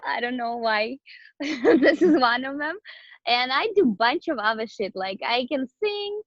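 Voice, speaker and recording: A woman's voice: high-pitched, lively intonation, unhurried, no echo, lo-fi sound. A bubbly woman chatting casually as an interview guest, a professional dancer whose native language may be Romanian. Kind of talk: casual chat.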